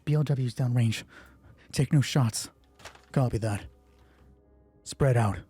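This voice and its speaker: A man playing a calm, professional military squadmate in a tabletop game, speaking in clipped, terse phrases. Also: mid-range voice, slow, slightly rough, soft background music. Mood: curt.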